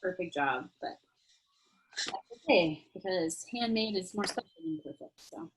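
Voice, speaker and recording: A woman's voice: fairly low pitch, animated, measured pace, a little hiss or hum, low-quality recording. A woman teaching a casual online craft class over a video call, explaining steps in a chatty way. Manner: chatty, friendly explaining with fillers.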